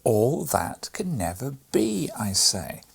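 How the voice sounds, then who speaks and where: A man voicing a stern elderly male clockmaker godfather in a storybook reading, with slow, firm refusals. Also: medium pitch, wildly swooping pitch, vocal fry, slight background noise, heavily acted.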